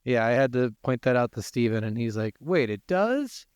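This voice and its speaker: A man's voice: mid-range voice, big pitch swings, normal speed, a little mellow, clean tone, no echo, studio quality. A man co-hosting a podcast in casual banter, talking in a joking, sweary way. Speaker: podcast co-host. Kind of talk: casual banter between co-hosts.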